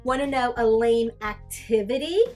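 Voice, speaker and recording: A woman reading a storybook aloud as a sassy, easily grossed-out young female cartoon character, with exaggerated, drawn-out disdain. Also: fairly high pitch, a little mellow, faint music behind, close to an everyday voice. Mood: disdainful, complaining. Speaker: teacher reading a picture book aloud. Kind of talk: animated children's storybook read-aloud.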